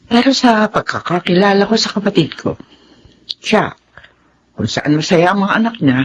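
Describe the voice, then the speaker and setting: An actress playing a caring, reassuring older aunt in a radio drama, speaking in a motherly, soothing, expressive way. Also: mid-range voice, normal speed, a little hiss or hum, lightly acted. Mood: gentle, reassuring. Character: caring, reassuring older aunt raising her late sister's children.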